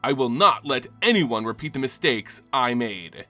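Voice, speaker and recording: A man plays a regretful ghost of an army general in a fantasy story reading. He declares himself gravely, with a bright edge and moving pitch, over faint music. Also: expressive, normal speed. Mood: grave, resolute. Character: solemn ghost of a regretful male war general.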